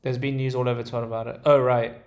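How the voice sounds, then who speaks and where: A young man with a British accent chats his way through trading charts on a live stream, his voice low in melody and gravelly at the edges. He talks in a relaxed, halting way, full of fillers and restarts. The sound bounces around a roomy space. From Dubai. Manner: relaxed, hesitant, many ums and restarts. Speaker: day trader streamer. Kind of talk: casual livestream chart commentary, reading chat. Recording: roomy echo.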